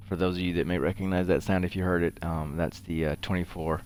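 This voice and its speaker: A man's voice: low-pitched, fairly even intonation, quick pace, darkish tone. A man giving casual let's-play commentary as a game streamer, muttering offhand to himself.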